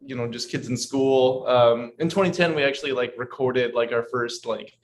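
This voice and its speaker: A man's voice: medium pitch, moderate pace. Man in his twenties, band guitarist, laid-back, lots of "like", video-call audio. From Portland, Oregon. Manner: easygoing, many "like" fillers. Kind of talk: casual interview chat.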